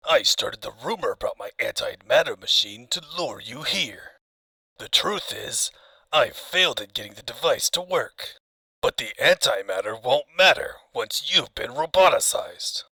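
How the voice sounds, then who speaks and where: Male actor as gloating mad scientist villain, very bright forceful voice, gravelly fry, cartoon menace. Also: mid-range voice, lively intonation, measured pace, dry recording, full character voice, low-quality recording. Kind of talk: comic fandub villain acting.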